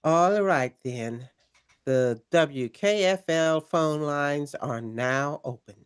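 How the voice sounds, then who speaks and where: A theatrical late-night pirate radio host, slow and deliberate, her pitch rising and falling dramatically, as a woman plays her. She savors each phrase and leans into the eerie parts of her story. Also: clear, resonant tone. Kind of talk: audio drama acting.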